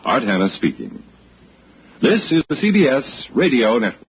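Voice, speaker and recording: A man's voice: mid-range voice, sing-song, normal speed, a little hiss or hum. An American man announcing in a polished, formal 1960s radio style. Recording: muffled old radio broadcast, music behind. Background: music.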